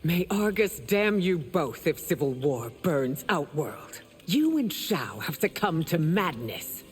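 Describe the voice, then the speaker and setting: A woman's voice: low-pitched, big pitch swings, slow, full character voice. A woman playing a proud, stern empress in a fantasy video game, speaking in a regal and formal manner. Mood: scornful. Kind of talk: video game dramatic acting. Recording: long hall reverb, music behind.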